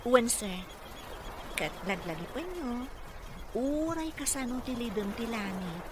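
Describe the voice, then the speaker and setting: A woman's voice: fairly high pitch, very animated, a little slow, noisy background. A woman playing a devoted, respectful therapist to a rich boss in an Ilocano radio drama. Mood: encouraging.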